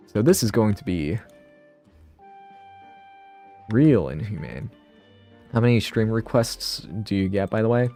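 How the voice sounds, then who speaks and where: A man's voice: medium pitch, mellow. A man casually thinking aloud on a live stream, rambling and interrupting himself, a bit sniffly from a cold.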